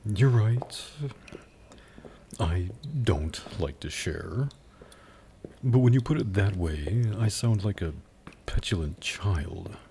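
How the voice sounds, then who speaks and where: A man playing a suave, haughty demon buyer in an ASMR roleplay, speaking in a low, husky, gravelly voice with teasing, sardonic pauses. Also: lively intonation, noisy background. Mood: haughty, wry. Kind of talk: ASMR roleplay audio drama.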